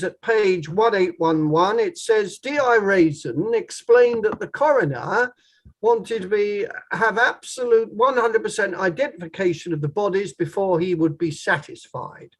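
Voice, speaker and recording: A man playing a formal, probing barrister who questions in a measured way, in a table read of a courtroom play. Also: high voice, rough recording. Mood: methodical questioning. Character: probing male inquiry barrister, formal and methodical.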